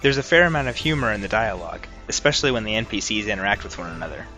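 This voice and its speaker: Casting: male game essayist, low but crisp-edged and fast, scripted commentary over faint music. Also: lively intonation, pushed and bright. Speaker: video essayist, game reviewer.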